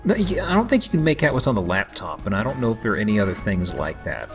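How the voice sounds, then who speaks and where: Low-voiced man rambling over game music. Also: very animated. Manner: rambling, joking, halting asides. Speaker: let's play host. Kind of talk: casual game commentary. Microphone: voice-over microphone over game audio.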